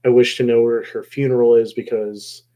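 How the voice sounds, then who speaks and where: A man hosting a casual recap discussion of a show episode, rambling along and often pausing mid-phrase. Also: medium pitch, little pitch movement, dark tone. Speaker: host of a show discussion video.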